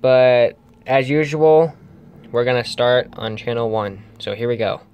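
Halting casual hobbyist man. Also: mid-range voice, clear, resonant tone, highs cut off. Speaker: radio hobbyist vlogger. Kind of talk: casual hands-on demonstration commentary.

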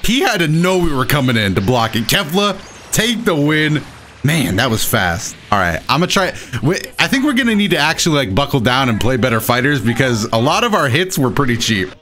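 A man hosting a gaming video with casual, excited, exclamatory and self-deprecating play-by-play commentary. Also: medium pitch, brisk. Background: game music behind the voice.

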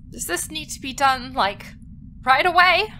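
A woman's voice: high-pitched, slow, bright, forceful tone, slight background noise, heavily acted. An actress playing a hungover female doctor, whiny and reluctant, in an audio drama. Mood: groggy, reluctant.

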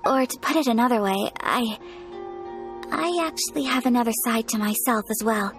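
A woman voicing a poised, gentle young noblewoman in a video game, soft-spoken and formal. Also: on the high side, moderate pace, lightly acted. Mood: earnest. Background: faint game music.

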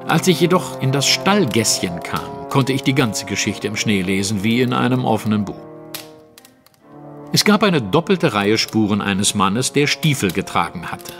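Confident detective explaining a case, very animated and precise, audio drama with music behind. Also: male voice, medium pitch. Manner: articulate, precise, dramatic storytelling. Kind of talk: German audio drama acting, narrative monologue.

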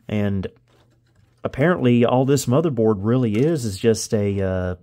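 Man, soft dark tone, casual hobbyist video host, hands-on commentary, tentative, thinking aloud. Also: medium pitch. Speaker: host of a vintage computer video channel. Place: indoors in a home room.

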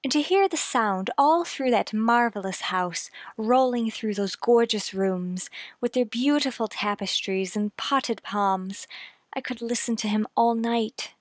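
An actress plays a young lady of genteel manners in a period radio play. Her voice is high and dry, with a dreamy, intrigued way of confiding. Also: a little slow, dry recording, lightly acted. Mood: enraptured. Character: genteel young single woman writing letters, intrigued, dreamy. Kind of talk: old-time radio drama acting.

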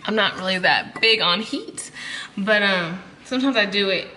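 A young woman walks viewers through a recipe in a casual, chatty way, with plenty of ums and asides. Her voice sits at an ordinary middle pitch. She records herself on a camera sitting close by on the kitchen counter, and food sizzles clearly in the pot behind her. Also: moderate pace, sharp tone, a little hiss or hum, low-quality recording. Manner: chatty, talking to viewers with many fillers. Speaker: cooking vlogger. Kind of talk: casual cooking tutorial. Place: home kitchen, indoors. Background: sizzling food and stirring.

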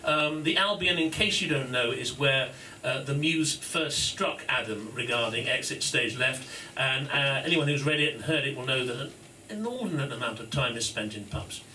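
A man's voice: mid-range voice, animated, normal speed, few pauses, sharp tone, a little hiss or hum. A man hosting a launch event in a pub, giving an informal, self-deprecating speech full of fillers and laughs. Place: pub function room. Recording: echoey room from far off.

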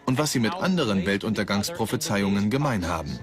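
A man's voice: fairly low pitch, animated, fast, over music, close to an everyday voice. A man reading scripted documentary narration in a dubbed production.

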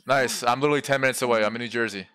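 A man's voice: medium pitch, fairly even intonation, rapid-fire, bright, forceful tone. A young man in his twenties, a streamer chatting with strangers on a headset mic, brash and joking, swearing freely. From Brazilian American. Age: 20s. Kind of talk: casual video chat with strangers. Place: small bedroom.

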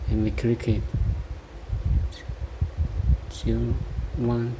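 A man demonstrating a product hands-on, speaking in short, halting phrases, recorded indoors on a handheld device. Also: medium pitch, completely flat delivery, creaky, heavy background noise. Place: indoor room, beside a tabletop.

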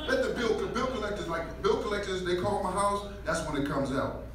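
High-voiced Jamaican-born comic in an echoey club. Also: male voice, a little fast, a crowd in the background. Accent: light Jamaican. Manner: animated, bursts into loud shouted outbursts. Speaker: stand-up comedian. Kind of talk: stand-up comedy set. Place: small comedy club stage with a live audience. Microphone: handheld mic, filmed from the back of the room. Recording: echoey club room, distant camera mic, audience noise.

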